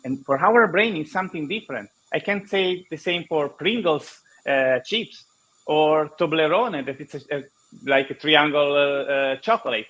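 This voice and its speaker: Italian-accented male guest, slightly high voice, lo-fi call audio, halting and explanatory. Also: fairly bright tone. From Italy. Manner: halting non-native English, many restarts, explanatory. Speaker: guest speaker. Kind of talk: online conference interview.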